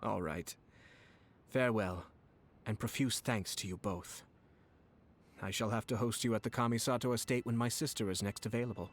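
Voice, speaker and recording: A man voicing a young noble commissioner who speaks in a measured, courteous, formal way. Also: on the low side, fairly even intonation, natural voice. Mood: calm. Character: young male noble commissioner, calm and cunning strategist. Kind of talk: video game voice acting.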